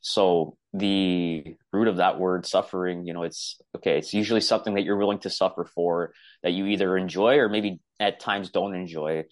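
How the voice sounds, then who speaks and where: A man from Canada, a guest on a podcast, talking in an easygoing, relaxed way with many fillers. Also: sits low, moderate pace, few pauses, no room sound. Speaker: podcast guest, boxing coach. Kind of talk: casual interview chat.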